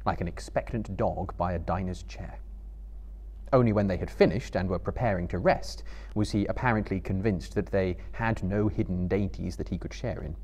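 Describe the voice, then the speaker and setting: A man's voice: low-pitched, a little mellow. A man in his thirties giving a dramatic literary reading as a storyteller to a live audience. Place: book-lined room before a small live audience.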